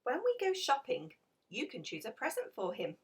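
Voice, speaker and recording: A woman's voice: sits high, huge pitch swings, moderate pace, husky, recorded from a distance, pitched above the performer's own voice, full character voice. A woman voicing a kindly, comforting mother in a children's storybook. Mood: gentle.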